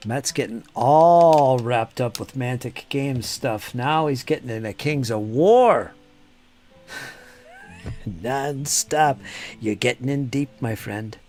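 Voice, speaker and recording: A man's voice: mid-range voice, animated, takes it slowly, darkish tone, faint music behind. A man hosting a casual live stream while painting miniatures, chatting with viewers by name and muttering to himself as he works. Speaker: hobby streamer.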